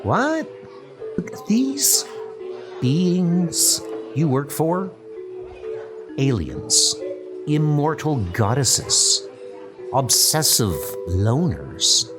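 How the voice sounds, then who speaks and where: A man voicing a scheming, seductive sorceress in a comic book dub, speaking in a drawn-out, persuasive way. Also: a little high, sing-song, voice pushed up, exaggerated voice. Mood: scornful. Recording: music bed under the voice.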